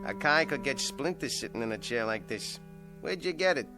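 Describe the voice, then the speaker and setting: An actor playing a surly ventriloquist's dummy in a radio drama, sardonic and domineering, with slangy, bossy talk. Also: male voice, mid-range voice, animated, normal speed, bright, forceful tone, faint music behind. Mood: grumbling.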